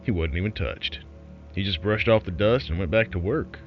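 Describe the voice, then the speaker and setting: A man's voice: low voice, normal speed, dull audio, soft background music, close to an everyday voice. A man playing a wry, folksy underground broadcaster who tells an eerie tale in a sardonic, conspiratorial way. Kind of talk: scripted horror storytelling as a broadcast.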